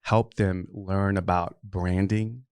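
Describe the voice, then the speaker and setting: A man's voice: deep voice, level tone, takes it slowly, a little mellow, clean tone, no echo. A middle-aged man speaking as a podcast consultant guest, answering interview questions in an earnest, rambling way with many fillers.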